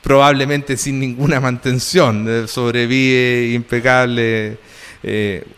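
A man hosts an interview, his voice smooth and resonant at a middle pitch. He explains carefully, stacking his points one after another. A long reverb trails each phrase. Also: steady tone, slow, a bit bright. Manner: explanatory, builds points in lists. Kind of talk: interview host commentary.

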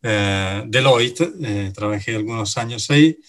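A man from Italy, a native Italian speaker in his thirties, talking as an interview guest, often pausing and restarting his sentences. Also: on the low side. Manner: halting, self-correcting, many fillers and restarts. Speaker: interview guest, finance director. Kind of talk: casual interview talk.

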